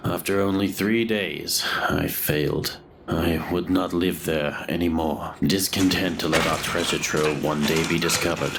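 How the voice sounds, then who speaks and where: Very deep, gravelly haunted grave robber. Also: male voice, very noisy. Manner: brooding, literary, measured storytelling. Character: haunted male grave robber narrating his grim confession. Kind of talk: gothic horror audio drama narration.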